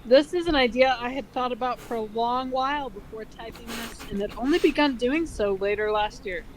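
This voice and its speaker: A woman's voice: a little high, a little fast, low-quality recording. A woman reading aloud casually and comedically, breaking off for sarcastic, sweary commentary and drawling words for emphasis. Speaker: co-host of a comedic dramatic fanfiction reading. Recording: unevenly balanced amateur audio, crowd-like background noise.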